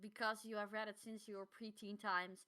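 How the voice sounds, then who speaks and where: Bright-voiced Dutch woman. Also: medium pitch, little pitch movement, normal speed. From Netherlands. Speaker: podcast co-host. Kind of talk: casual podcast chat.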